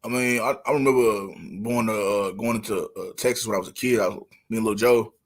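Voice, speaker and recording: A man hosting a casual podcast interview, speaking in informal slang with halting restarts. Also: lowish voice, fairly even intonation. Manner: informal slang, halting restarts, "you know what I'm saying". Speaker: podcast host.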